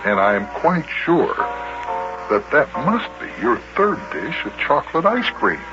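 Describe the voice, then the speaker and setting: A man's voice: on the high side, big pitch swings, a little slow. A man playing a folksy, teasing, chuckling companion to a boy in 1950s children's radio style. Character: good-natured, teasing grown man, a boy's companion. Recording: muffled old radio recording with music.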